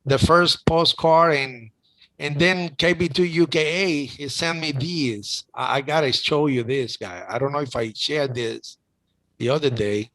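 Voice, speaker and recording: Middle-aged cuban guy chatting, kinda high voice, gets emotional, stumbles and restarts a lot. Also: unhurried, a bit bright. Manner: emotional, talks with many restarts. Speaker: host. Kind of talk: casual chat.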